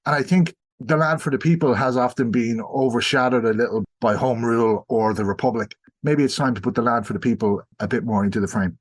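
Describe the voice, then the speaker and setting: An Irish man from South Kildare speaking as a podcast guest, explaining things in a measured, academic way and rephrasing as he thinks aloud. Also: mid-range voice. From South Kildare, Ireland. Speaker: podcast guest, historian and sociologist. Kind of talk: explanatory interview talk.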